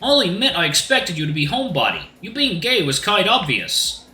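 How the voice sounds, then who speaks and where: Man acting as old crow, stoic, formal, deliberate delivery, higher pitch, bright tone, storytelling. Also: big pitch swings, echoey room, full character voice. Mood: dry, deliberate. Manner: formal, deliberate, drops articles. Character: stoic, courtly old male crow fighter.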